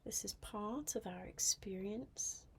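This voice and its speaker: A woman guiding a mindfulness meditation in a soft, breathy, half-whispered voice, gently and with long pauses. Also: medium pitch. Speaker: meditation guide.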